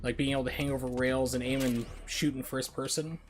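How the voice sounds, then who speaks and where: A man with an average-pitched voice streams a video game and talks along informally. He rambles through his opinions as if chatting with viewers. Faint game music plays underneath. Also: flat delivery, brisk. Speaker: game livestreamer. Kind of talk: casual live gameplay commentary, chatting with viewers.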